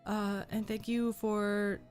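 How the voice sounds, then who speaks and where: A woman's voice: medium pitch, little pitch movement, slow, a little mellow, soft background music. A young woman streamer chatting casually with her viewers, a little sick and losing her voice, with frequent ums. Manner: chatty, reads and answers chat, frequent ums. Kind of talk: casual livestream chat.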